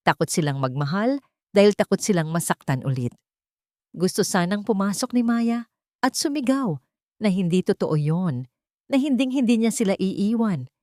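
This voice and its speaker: A woman's voice: medium pitch, animated, normal speed, long pauses, dark tone, no echo, clean studio sound. A woman narrating a scripted dramatic story as its narrator.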